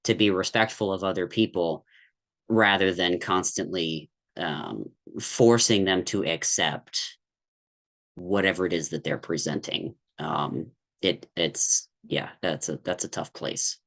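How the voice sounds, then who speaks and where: A man with a very low, deep voice speaks candidly as a podcast guest. He wanders through his thoughts, restarting sentences and leaning on fillers as he goes. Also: a little slow, gravelly, fairly bright tone. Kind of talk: candid conversational podcast talk.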